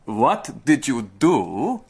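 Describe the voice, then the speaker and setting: Fussy german official, prim reproving tone, mid-range voice. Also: male voice, mid-range voice, big pitch swings, very slow, breathy edge, heavily acted. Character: fussy, cautious German official, middle-aged.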